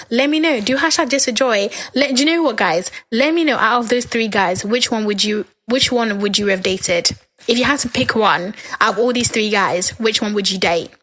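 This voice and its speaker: A young woman, hosting her podcast in a casual, animated solo chat and a little hoarse from talking. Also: rough recording. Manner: animated, chatty, acts out quoted lines with big pitch jumps. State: slightly hoarse, losing her voice. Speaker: podcast host.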